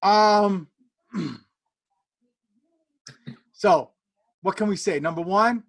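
Male co-host, 40s, notably high voice, emphatic slangy delivery for an informal fan review show. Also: very slow, vocal fry. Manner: slangy, emphatic, steers the conversation. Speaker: podcast co-host. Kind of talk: casual fan chat, reviewing and reacting.

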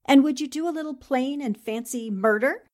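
A woman acts out a determined lady reporter in a forties radio play. Her fairly high voice is poised and polite, with a probing edge. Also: a little fast, darkish tone, natural voice. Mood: probing, pointed. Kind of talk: 1940s radio drama acting.

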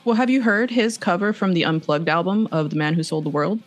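A woman's voice: on the low side, fairly quick. Woman, Spanish first language, gushing, casual podcast chat. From Mexico. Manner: enthusiastic, gushing about music.

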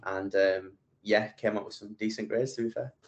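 A man's voice: fairly low pitch, fairly even intonation, moderate pace. A man, a podcast guest chatting casually and rambling with plenty of fillers and restarts. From England. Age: 20s. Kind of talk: casual interview chat.

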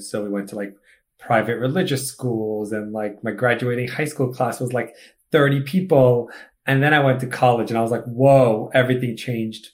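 A man in his thirties from Egypt, a startup founder chatting as a podcast guest, speeding up in animated bursts and leaning on "like" as he talks. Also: mid-range voice. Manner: animated storyteller, fast bursts and filler "like". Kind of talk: casual interview chat.

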